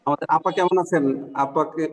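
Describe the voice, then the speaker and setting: A man's voice: fairly high pitch, steady tone, racing, big-room sound, poor audio. A man with a Bangladeshi accent, speaking in a friendly, urging way while leading a small online group discussion. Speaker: breakout group participant. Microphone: video call.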